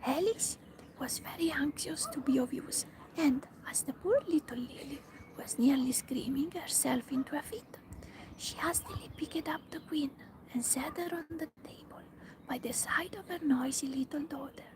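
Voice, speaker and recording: A woman reading a storybook aloud in a soft, steady ASMR whisper. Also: high-pitched, normal speed, background noise. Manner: soft unvoiced whisper throughout. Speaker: narrator.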